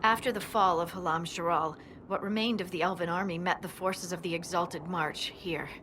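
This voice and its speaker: A woman's voice: lowish voice, steady tone, normal speed, fairly bright tone, slight background noise, natural voice. A woman playing a serious army scout in a video game, giving a formal, sober briefing to her superior. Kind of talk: dramatic video game dialogue.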